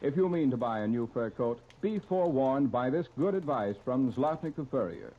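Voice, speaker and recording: A man's voice: mid-range voice, lively intonation, normal speed. A man with an American accent announcing on 1930s radio in a formal, polished, scripted style, heard on an old broadcast recording. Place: radio studio. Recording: dull, lo-fi 1930s radio transcription disc.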